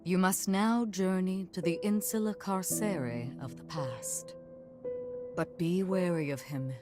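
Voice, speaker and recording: A composed female guide from a video game. Her voice is low and slow, with formal, earnest phrasing and a solemn air. Soft music sits behind her. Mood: earnest, cautioning. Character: solemn, composed woman guiding a hero on a mission. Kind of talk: dramatic video game acting.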